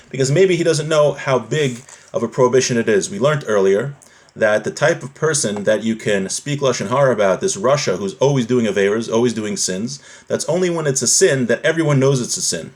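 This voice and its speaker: Male religious instructor, mid-pitched voice, didactic delivery, moving between reading a text and explaining it in plain rephrasings. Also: darkish tone. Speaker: religious teacher. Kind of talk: live class, reading a text and translating and explaining it.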